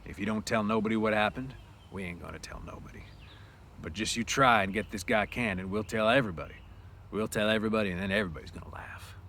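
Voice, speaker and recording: A man voicing a steady, commanding ranch hand with plain, folksy phrasing, in a storybook reading. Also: on the low side, fast, slight background noise. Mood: firm, quiet.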